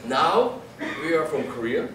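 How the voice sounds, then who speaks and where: A man's voice: a little high, very animated, measured pace, a bit bright, distant mic. A man with a foreign accent, not a native English speaker, chattily hosting an onstage concert interview in a noisy, roomy hall. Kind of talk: informal onstage interview before an audience.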